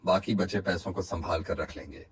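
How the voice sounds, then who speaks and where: A man playing an honest, hopeful woodcutter and family man in a cartoon moral story, speaking in an earnest, reassuring, measured way. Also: sits low, level tone, breathy edge. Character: honest, hopeful, hardworking poor woodcutter, husband and father.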